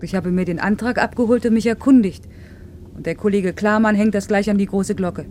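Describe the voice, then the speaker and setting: Mellow, guarded woman acting a factory worker. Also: mid-range voice, steady tone, fairly quick, a little hiss or hum, natural voice. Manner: terse, plain-spoken, guarded. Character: reserved, stubborn working mother, female skilled factory worker. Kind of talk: naturalistic German radio play dialogue.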